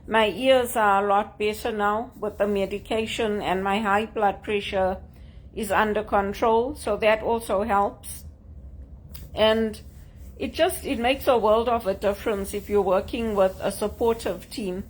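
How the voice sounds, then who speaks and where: A woman from Zimbabwe with a Zimbabwean accent, talking to camera in an earnest, measured way about job hunting. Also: mid-range voice, steady tone, low-quality recording. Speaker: job seeker sharing tips. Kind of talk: casual talk to camera.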